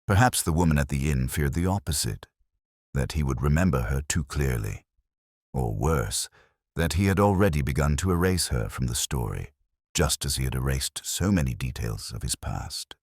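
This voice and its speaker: A man playing a melancholic, introspective police inspector, narrating a case in the first person in a measured, literary way for an audio drama. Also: very deep voice, lively intonation, darkish tone, breathy edge, dry recording, clean studio sound, heavily acted. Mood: brooding, reflective. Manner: measured, literary, brooding delivery.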